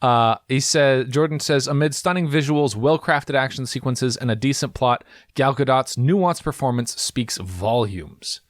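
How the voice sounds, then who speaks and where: A man's voice: mid-range voice, dry recording. Chatty man, dry close mic. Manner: chatty, laughs often, quick asides. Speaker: podcast co-host. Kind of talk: casual chat, reading reviews aloud.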